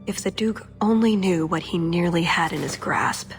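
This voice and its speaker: A woman's voice: lowish voice, normal speed, close to an everyday voice. A woman playing an earnest, wry young elf agent in a dramatic video game scene. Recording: music behind the voice.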